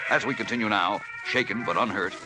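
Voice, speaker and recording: A man narrating a 1940s radio serial as its announcer, dramatic and portentous, with measured phrasing. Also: fairly low pitch, loud music behind the voice.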